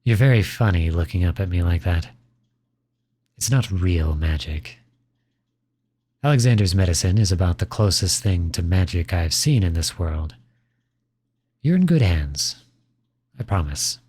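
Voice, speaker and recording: A man playing a kindly, teasing outlaw in an intimate ASMR roleplay, gentle and reassuring. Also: low-pitched, expressive, a little slow, long pauses, slightly rough, exaggerated voice. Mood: gently teasing. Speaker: audio roleplay voice actor.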